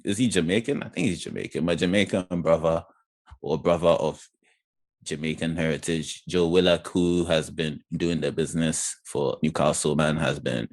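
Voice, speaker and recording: A man's voice: very deep voice, fairly even intonation, moderate pace, darkish tone. A man with a British accent, from Ghana, co-hosting a podcast in a joking, slangy way. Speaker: podcast co-host. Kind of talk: casual banter.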